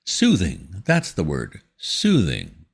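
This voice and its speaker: A man voicing a dithering young upper-class English gentleman in a storybook reading, pitched well above his own voice and stammering. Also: huge pitch swings, slow, creaky, breathy edge, heavily acted. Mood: content.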